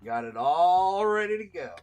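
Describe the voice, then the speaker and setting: A man's voice: sits high, big pitch swings, moderate pace, a little mellow, faint music behind, voice pushed up. A man voicing a laid-back, cocky elf adventurer with a carefree, rambling Southern US drawl. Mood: casual, confident.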